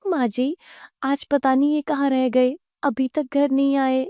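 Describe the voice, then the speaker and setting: Woman doing a high, anxious young wife. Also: level tone, a little slow, mellow, no room sound, clean studio sound. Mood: worried. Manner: fretful, respectful. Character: anxious, timid young village daughter-in-law.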